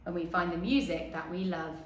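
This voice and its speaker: A woman voicing a gentle, clumsy young male giraffe in a children's storybook, earnest and expressive. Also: fairly low pitch, fairly quick, roomy sound, a little hiss or hum, pitched below the performer's own voice, close to an everyday voice. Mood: content. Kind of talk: children's storybook read-aloud.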